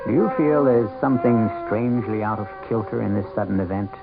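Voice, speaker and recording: Ominous, gravelly male radio host. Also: lowish voice. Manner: ominous, measured, theatrical. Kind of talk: dramatic scripted narration. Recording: tinny old radio broadcast. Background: suspense music.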